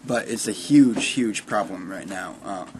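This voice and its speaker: A man's voice: lowish voice, a little slow, noisy background. A twenty-three-year-old man giving blunt, wry opinions in a casual interview. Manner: blunt and wry, piling up details.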